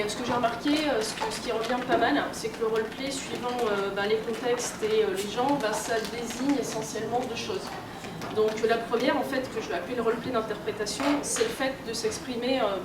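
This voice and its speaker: A woman's voice: fairly high pitch, steady tone, brisk. Woman doing a casual conference talk, lots of ums, mic kinda far off, echoey room. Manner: hesitant, filler-heavy, conversational delivery. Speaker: lecturer, games researcher and editor. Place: indoor convention talk room. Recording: roomy, noisy convention room, distant mic.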